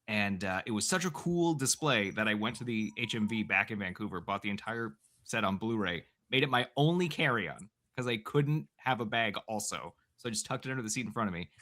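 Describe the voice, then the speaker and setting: A man's voice: on the low side, sharp tone. A man co-hosting a film podcast, chatting casually and telling stories. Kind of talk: casual chat.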